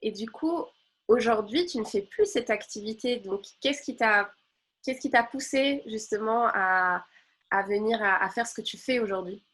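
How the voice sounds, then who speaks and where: A woman's voice: on the high side, moderate pace, a bit bright, slight background noise. A woman hosting an interview, asking questions with eager, exclamatory enthusiasm. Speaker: host. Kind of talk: conversational interview.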